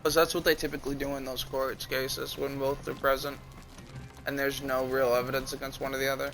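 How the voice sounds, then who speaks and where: A man's voice: mid-range voice, little pitch movement, a little fast, fairly bright tone, poor audio. A man chatting casually on a live stream, explaining his reasoning in an analytical way. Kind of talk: casual chat. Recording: music behind the voice.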